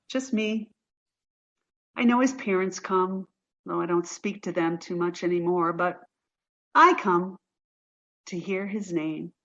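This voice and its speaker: A woman acting in an audio play as a wistful, fragile woman in mourning, speaking haltingly and from the heart. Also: mid-range voice, highs cut off. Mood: wistful grief.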